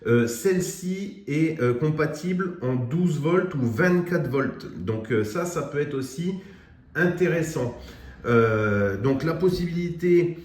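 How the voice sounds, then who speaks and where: A man's voice: on the high side, animated, slow, a little mellow, echoey room. A man giving a casual product review of a radio, with lots of fillers and restarts. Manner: hesitant, many fillers and restarts.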